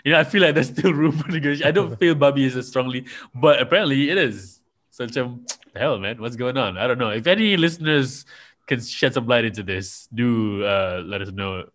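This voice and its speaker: A man's voice: medium pitch, animated, fairly quick, a bit bright. A man chatting casually as a podcast host, musing aloud and swearing freely. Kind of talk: casual chat.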